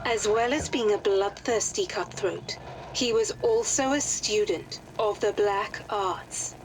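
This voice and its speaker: An actress voicing a composed, authoritative aristocratic lady who gives formal, measured mission briefings in a game. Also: mid-range voice, over music, natural voice, low-quality recording. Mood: grave briefing. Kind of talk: dramatic game cutscene briefing.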